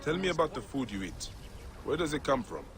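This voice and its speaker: Righteous game hero: mid-range male, intense, widely varied pitch, formal phrasing. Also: sing-song, brisk, music in the background. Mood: concerned, firm. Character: stern, righteous male avenging warrior-lawman hero. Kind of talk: video game character acting.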